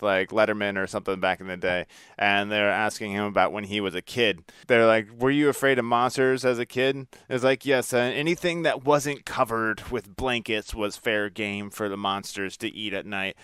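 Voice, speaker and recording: Very clear-voiced man hosting a podcast. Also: fairly low pitch, a bit bright, no room sound, studio quality. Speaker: podcast host. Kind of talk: casual interview chat.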